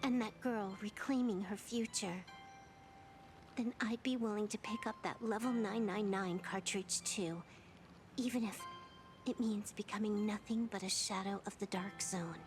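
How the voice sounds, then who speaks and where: An actress voicing a sharp-tongued young woman in a video game, proud and wry yet guarded about her soft heart. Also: mid-range voice, fairly even intonation, a little slow, faint music behind, close to an everyday voice. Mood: wistful, resigned. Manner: wry, teasing, emotionally guarded. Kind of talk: scripted video game voice acting.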